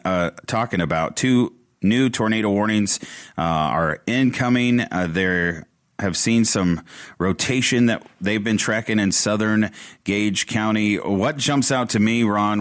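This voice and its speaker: A man hosting live radio storm coverage, ad-libbing in a halting, urgent way. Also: fairly low pitch, a bit bright. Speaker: radio host covering severe weather.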